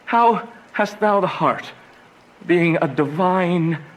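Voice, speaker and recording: A man's voice: high voice, slight background noise. A man playing a passionate, despairing young banished lover in a Shakespearean radio drama, with anguished, theatrical delivery. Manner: anguished, theatrical, slow declamation.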